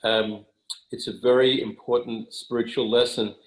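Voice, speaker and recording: A man's voice: medium pitch, flat delivery, moderate pace. A man offering an earnest, reflective comment in a group discussion, searching for his words as he goes. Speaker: discussion participant. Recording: roomy, echoing sound.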